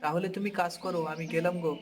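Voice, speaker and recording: A man's voice: sits high, fairly even intonation, fast talker, music in the background, lightly acted. A man voicing a friendly, chatty village farmer neighbour in a cartoon fairy tale.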